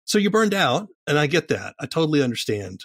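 A man's voice: a little high, big pitch swings, fairly quick, a bit bright, dry recording, clean studio sound. A man interviewing a guest in a conversational podcast style.

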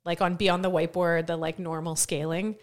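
A woman with a fairly low voice talks at a brisk clip, hosting a relaxed podcast conversation. She is chatty, laughs easily and fills her sentences with "like". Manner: chatty, laughs often, says "like" a lot. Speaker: podcast host and CrossFit coach. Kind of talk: casual interview chat.

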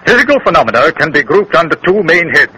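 Forceful, declamatory old-radio colonel. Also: male voice, a little high, very animated, fast. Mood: assured, lecturing. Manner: declamatory, didactic, formal. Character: grave, assured British colonel, veteran of colonial India. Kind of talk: 1930s radio drama acting. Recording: noisy 1930s radio recording.

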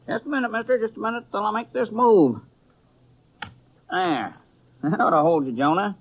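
A man's voice: high-pitched, very animated, normal speed, husky, exaggerated voice. An actor playing a folksy, talkative old small-town man with a rustic American drawl in a 1950s radio western. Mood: fussy, absorbed. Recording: muffled 1950s radio recording.

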